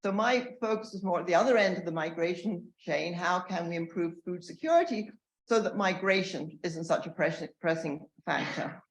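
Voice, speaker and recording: Loud-voiced older woman in echoey room. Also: fairly low pitch, normal speed. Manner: loud, earnest, with frequent fillers and restarts. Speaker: panel speaker, emerita professor. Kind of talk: academic panel talk.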